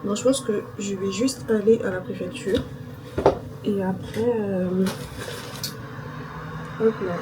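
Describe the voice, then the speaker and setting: A woman's voice: medium pitch, fairly even intonation, slow pace, pauses often, very noisy. A young woman chatting casually to her viewers, thinking aloud with fillers. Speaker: vlogger. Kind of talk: casual vlog chat to camera.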